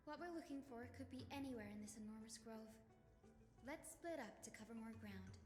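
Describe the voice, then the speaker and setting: An actress playing a sweet, earnest young female physician in a video game, with a lightly playful manner. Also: high-pitched. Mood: bright, confident. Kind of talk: scripted video game dialogue. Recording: roomy hall reverb. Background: music.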